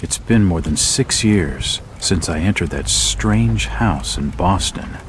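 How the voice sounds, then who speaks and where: A man playing a brooding, world-weary private detective in a video game, with a measured, grave, terse delivery. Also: deep voice, animated, slight background noise. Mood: grave, haunted. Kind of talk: noir video game narration. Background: music.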